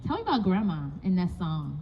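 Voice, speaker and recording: A woman's voice: lowish voice, huge pitch swings, fairly quick. An American woman moderating a live Q&A in a casual, conversational way. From Southern United States church tradition. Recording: dull, faintly noisy live recording.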